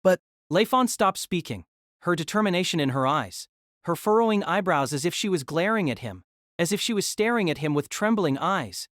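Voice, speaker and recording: Low-voiced woman narrating a story. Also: normal speed, no room sound, clean studio sound. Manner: steady storytelling, also voices the male lead. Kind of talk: scripted novel narration.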